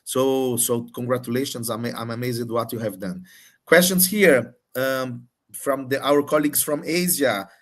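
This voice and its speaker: A man moderating a live Q&A, putting questions in a halting way as he searches for words and rephrases. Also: medium pitch, a bit bright. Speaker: moderator relaying audience questions.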